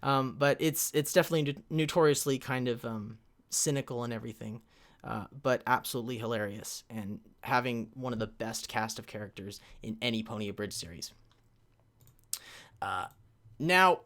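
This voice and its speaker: Male panel presenter, medium pitch, informal history talk, hesitant and rambling, corrects himself as he goes. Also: a bit bright.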